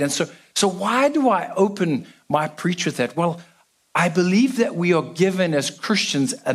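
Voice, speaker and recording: A young South African man giving a casual, joking sermon to a church congregation. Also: a little high. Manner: casual, self-deprecating, chatty with fillers. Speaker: guest preacher.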